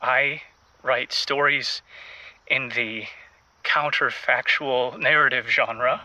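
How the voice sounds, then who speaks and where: A man playing an awkward, self-important novelist phoning a radio show in an audio comedy, speaking in a pompous, halting way. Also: mid-range voice, fairly even intonation, sharp tone, no echo, low-quality recording. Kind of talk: audio comedy drama acting.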